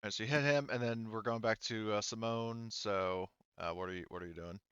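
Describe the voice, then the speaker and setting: Bright, clear-voiced man running a game. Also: lowish voice, steady tone, fairly quick, dry recording, muffled recording. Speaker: game master. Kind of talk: running a tabletop roleplaying game, casual.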